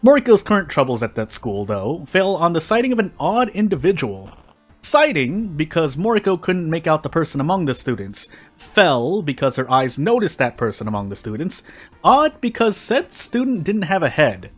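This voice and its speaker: Higher-voiced man reading a story expressively. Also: sing-song, normal speed. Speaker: fan fiction reader on a video channel. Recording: music behind the voice.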